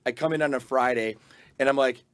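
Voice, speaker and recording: A man hosting a podcast, his voice raspy and hoarse from losing it, chatting in an animated way with many restarts. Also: mid-range voice, fairly quick. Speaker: podcast host. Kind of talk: casual interview chat.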